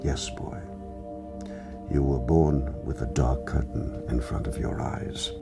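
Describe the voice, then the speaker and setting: An older man playing a gentle, solemn grandfather who tenderly tells his grandson a story in a dramatic storybook read-aloud. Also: very deep voice, steady tone, slow, full character voice. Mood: gentle, grave. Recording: music under the voice.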